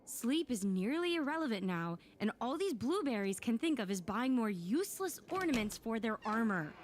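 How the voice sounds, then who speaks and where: A woman's voice: a little high, very animated, moderate pace. A woman playing a feisty, impatient young female warlock in an audio drama, sarcastic and emphatic. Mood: exasperated, scornful.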